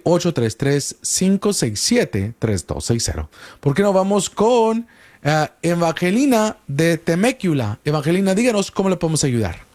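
A man's voice: on the high side, a little mellow. A man hosting a radio call-in show as an immigration lawyer. He explains patiently, asks many questions and often restarts words. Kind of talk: radio call-in legal advice.

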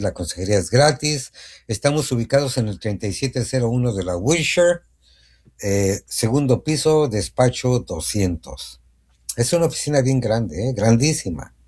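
Radio guy hosting mornings, chatty, reads numbers nice and slow. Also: fairly low pitch, darkish tone, husky. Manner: chatty, repeats phone numbers slowly. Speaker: radio show host. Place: radio studio.

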